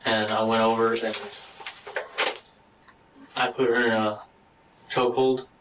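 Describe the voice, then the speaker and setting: A man giving a halting, matter-of-fact account in a recorded interview. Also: on the low side, little pitch movement, noisy background. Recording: distant microphone in a room.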